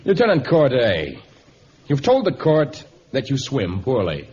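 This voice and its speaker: A man playing a proud, indignant jungle hero with a formal, measured delivery in a vintage radio adventure serial. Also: mid-range voice, wildly swooping pitch, a little mellow. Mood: probing, assured. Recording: muffled old radio recording.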